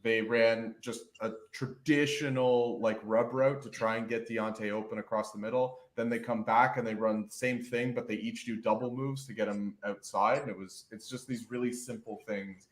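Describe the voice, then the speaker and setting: A man's voice: medium pitch, fairly even intonation, moderate pace, hardly any pauses, roomy sound. A man giving earnest, detailed football analysis on a casual podcast. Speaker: podcast guest.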